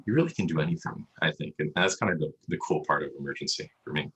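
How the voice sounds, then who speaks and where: Male interview guest, doctor: extremely low, slightly raspy voice, explanatory and conversational, on a video call. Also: fast talker, fairly bright tone, lo-fi sound. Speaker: emergency radiologist, interview guest. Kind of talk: casual interview answers. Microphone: video call microphone.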